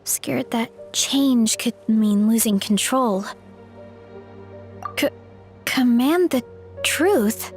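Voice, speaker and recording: A young tribeswoman from a video game, speaks in a high voice at a slow pace. The delivery is earnest, sincere and a little hesitant, with faint music behind it. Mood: earnest, anxious. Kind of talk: video game character acting.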